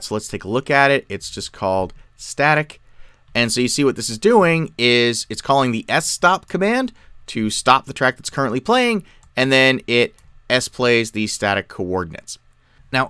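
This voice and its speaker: Animated man explaining a software tutorial. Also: medium pitch, no echo, studio quality. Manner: clear step-by-step explaining. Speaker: tutorial presenter. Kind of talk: software tutorial, explanatory screen-share narration.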